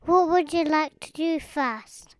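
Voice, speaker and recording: A woman puts on an eager young fellow from a children's storybook, a perky museum guide. The voice is extremely high and deliberately paced, recorded dry and close. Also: no echo. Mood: cheerful.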